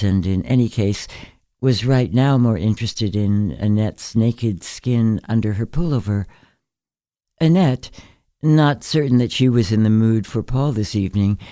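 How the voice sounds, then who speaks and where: A man narrating a literary short story in a measured, gentle, deliberate way. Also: fairly low pitch, dry recording, clean studio sound. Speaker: narrator, fiction reader.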